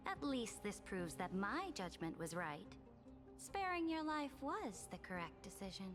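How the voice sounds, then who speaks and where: An actress playing an imperious, amused young empress in a video game, speaking in a regal, measured and haughty way. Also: female voice, mid-range voice, very animated, over music. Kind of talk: dramatic video game voice acting.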